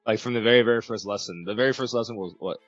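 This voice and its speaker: Young American guy, casual interviewee, quick and loose, lowish voice, muffled lo-fi sound. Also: faint music behind. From United States. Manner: laid-back, chuckles often, hedges with "like". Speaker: language program student.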